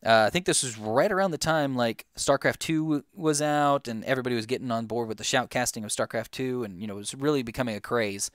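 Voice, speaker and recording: A man's voice: medium pitch, fast talker, few pauses, no room sound, studio quality. A young man answering viewer questions in a casual, rambling way, with lots of fillers and restarts. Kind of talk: casual Q&A chat.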